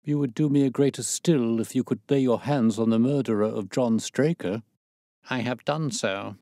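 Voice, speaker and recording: A man voicing a composed, imperturbable gentleman detective in an audiobook, with an unruffled, quietly confident manner. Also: medium pitch, no room sound, studio quality, pitched above the performer's own voice, close to an everyday voice. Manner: unruffled, measured. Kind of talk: audiobook character dialogue.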